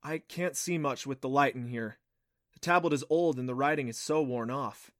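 A man's voice: medium pitch, moderate pace, a bit bright, no room sound, studio quality, lightly acted. A man voicing a curious, teasing boy adventurer in an audiobook, with an earnest, boyish manner. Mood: focused. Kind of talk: audiobook character voice.

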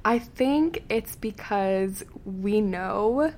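Woman, 20s, from PEI, gravelly fry, giggly and candid podcast co-host. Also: medium pitch, animated, very slow, a little mellow, slight background noise. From Prince Edward Island, Canada. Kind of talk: casual chat. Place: studio room. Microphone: large studio microphone.

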